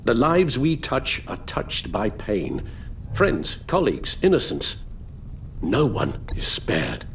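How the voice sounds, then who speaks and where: An actor playing an elderly British butler in a video game, formal and measured, weary and full of sorrow. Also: male voice, on the low side, huge pitch swings, a little hiss or hum, full character voice. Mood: weary regret. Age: older. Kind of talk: dramatic video game acting.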